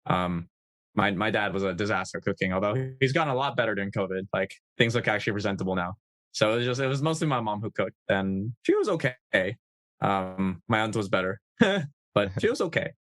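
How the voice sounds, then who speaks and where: Male podcast guest, low-ish voice, dry close sound, chatty and easygoing. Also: animated, a little fast, fairly bright tone, no room sound. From United States. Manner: easygoing, chatty, with frequent fillers. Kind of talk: casual conversational interview.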